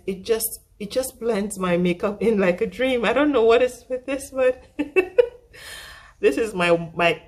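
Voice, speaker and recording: Husky-voiced woman recovering from flu. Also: lowish voice. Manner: chatty, self-deprecating, repeats herself. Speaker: makeup vlogger. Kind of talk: casual makeup tutorial talk.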